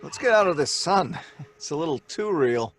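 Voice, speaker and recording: An actor playing a skeptical husband in a live audio drama, dismissive and wearily reassuring. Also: male voice, on the high side, expressive, normal speed, close to an everyday voice. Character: skeptical adult husband George, father. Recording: live stage recording with faint music.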